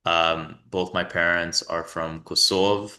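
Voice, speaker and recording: Deep-voiced young man, bright tone. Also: unhurried. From New York, United States. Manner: relaxed, rambling with many fillers and restarts. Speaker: guest, company founder. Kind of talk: casual interview conversation.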